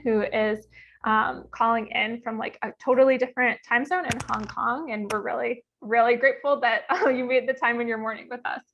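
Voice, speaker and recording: A woman's voice: on the high side, a bit bright, muffled recording. A woman speaking as moderator of an online event, informally and a little hesitantly introducing it and its guests. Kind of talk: informal event introduction, partly reading bios. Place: online video call.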